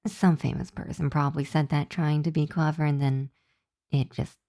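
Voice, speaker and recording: Woman doing a teasing vampire college girl, asmr roleplay, mellow slow voice, very dry close sound, playful. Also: deep voice, fairly even intonation, dry recording. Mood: teasing, argumentative. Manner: playful, teasing, drawn-out delivery with breathy asides.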